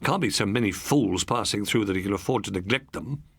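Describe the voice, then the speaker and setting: A man's voice: lowish voice, a little fast, gravelly, fairly bright tone, husky, heavily acted. A man voicing a fretful, complaining wife in an audiobook story, with a plaintive manner. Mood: peevish.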